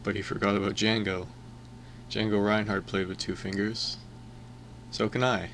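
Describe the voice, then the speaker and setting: A man's voice: fairly low pitch, level tone, moderate pace, a bit bright, slight background noise. A man playing a wounded, stubborn young musician in an amateur audio drama. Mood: bitter, determined.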